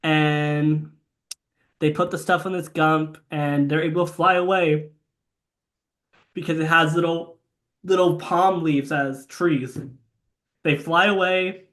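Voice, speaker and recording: Young man recapping a movie excitedly. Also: a little high, takes it slowly, long pauses. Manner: excited, swears a lot, recaps the plot. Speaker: podcast co-host. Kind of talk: casual movie chat.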